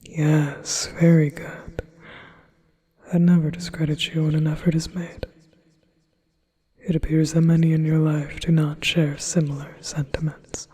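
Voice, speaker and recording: A man voicing a flattering, possessive male demon in a soft, intimate ASMR whisper. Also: a little high, a little slow, husky. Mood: coaxing.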